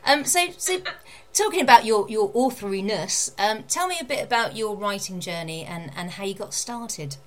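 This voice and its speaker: A woman's voice: mid-range voice, expressive, moderate pace, few pauses, slight background noise. A woman interviewing in a casual, chatty way, informal and encouraging with her guest.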